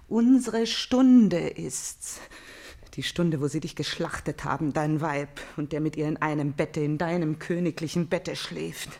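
Vengeful grieving princess, slow measured speech, intense, classical radio tragedy. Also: female voice, low-pitched, vocal fry, darkish tone, exaggerated voice. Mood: anguished, hushed. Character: Elektra, grieving, vengeful princess calling to her murdered father.

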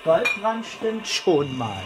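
A man's voice: high-pitched, sing-song, slow, over music, full character voice, poor audio. An actor playing a cynical, wisecracking male police detective in a German radio crime drama, with a flippant, sarcastic manner. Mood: mocking, sardonic. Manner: sardonic, flippant.

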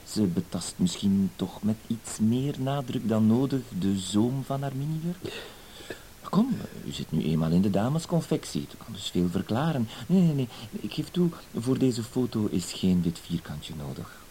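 A man's voice: fairly low pitch, animated, moderate pace, warm tone, close to an everyday voice. An actor playing a fussy, hesitant, apologetic blackmailer in a radio play, circling around his point with verbal tics. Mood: fussy, insinuating. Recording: hissy old radio play recording.

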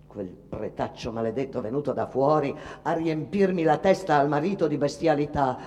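A woman's voice: deep voice, normal speed, darkish tone, lightly acted. A woman acts out a poor immigrant mother, weary, scolding and superstitious. She tells her story in a rustic, emphatic, theatrical radio-play manner. The sound rings as in a large hall. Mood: resentful. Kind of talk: dramatic theatrical monologue for radio.

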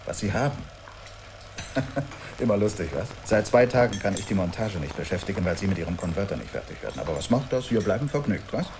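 Blustering, lowish-voiced impatient boss. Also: male voice, very animated, fairly quick. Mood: sarcastic, impatient. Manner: brusque, badgering, tacks "was?" onto sentences. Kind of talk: German radio play acting. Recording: noisy old radio production.

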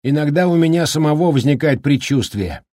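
A man doing a chirpy, high-voiced snowplow driver. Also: lively intonation, darkish tone, dry recording, studio quality, natural voice. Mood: cheery, chatty. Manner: brisk, folksy, joking. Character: cheerful talkative middle-aged male snowplow driver.

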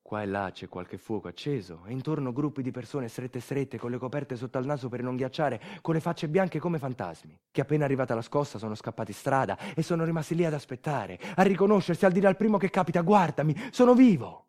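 A man performing a theatrical narrative monologue as a storyteller, moving dramatically between quiet asides and urgent delivery. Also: mid-range voice, fast talker, hardly any pauses. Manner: dramatic, swinging from hushed asides to loud urgency. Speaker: solo stage storyteller, actor.

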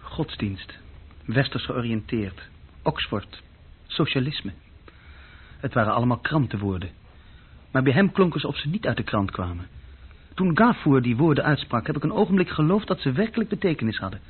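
A man's voice: mid-range voice, very animated, normal speed, slightly rough. An actor playing an uneasy, insecure young junior clerk who tells his story hesitantly and intimately in a radio play. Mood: brooding. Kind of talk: radio play acting, intimate first-person narration. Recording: dull, muffled old radio recording.

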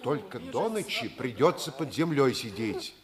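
An actor playing an earnest, eager wartime fortress defender in short emphatic phrases, in a 1950s Soviet radio drama. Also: male voice, mid-range voice, very animated, normal speed, a crowd in the background, lightly acted. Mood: excited.

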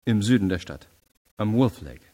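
An actor playing a formal, persistent state prosecutor in a radio play, polite but pressing. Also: male voice, lowish voice, lively intonation, normal speed, dark tone.

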